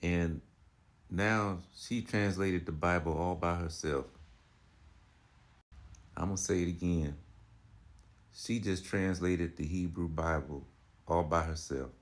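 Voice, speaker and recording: A man's voice: low voice, fairly even intonation, slow pace, pauses often. A man giving casual commentary on religious teaching, preachy and argumentative, full of "you know" and rhetorical questions. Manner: repetitive, filler-heavy, rhetorical questions.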